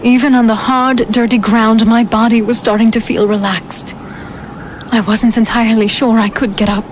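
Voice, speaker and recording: A woman playing a feisty, defiant warrior who narrates her own dark fantasy audio drama in a dramatic first-person style. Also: fairly high pitch, level tone, normal speed, slight background noise, lightly acted. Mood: weary, unsettled. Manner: dramatic, indignant in dialogue.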